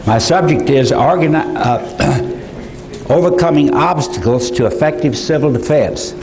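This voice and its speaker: An older man giving a conference lecture, halting, with many fillers and restarts. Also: fairly high pitch, low-quality recording. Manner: slow, halting delivery with frequent fillers. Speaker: conference speaker. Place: conference hall.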